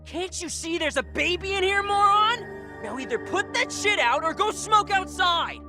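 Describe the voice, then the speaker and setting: Very high pitched mouthy boy, brash, swoops around, music under, video game. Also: lively intonation, moderate pace. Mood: angry. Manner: brash, slangy. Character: feisty, hot-headed, mouthy boy. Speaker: voice actor in game dub. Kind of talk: English dub game cutscene acting.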